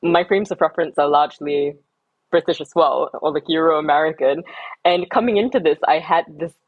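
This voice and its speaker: A woman from Singapore talks through her research as an interview guest. She is reflective and academic, often pausing with ums and restarting sentences. The recording is slightly dull and dry. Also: deep voice, no echo.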